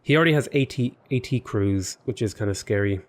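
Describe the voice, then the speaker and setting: A man's voice: lowish voice, fairly quick. A man casually commenting while playing a strategy game, thinking aloud and sounding a little sniffly and under the weather. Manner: thinking aloud, rambling, talks to game units. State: sniffly, slightly unwell. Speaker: gaming YouTuber. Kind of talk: casual let's play commentary.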